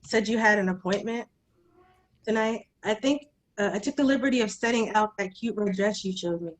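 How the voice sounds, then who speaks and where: Actress as kindly female nanny, mid-pitched, gentle, tentative, eager to help, screenplay drama. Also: normal speed, natural voice, poor audio. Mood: tentative, apologetic. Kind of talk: screenplay table read.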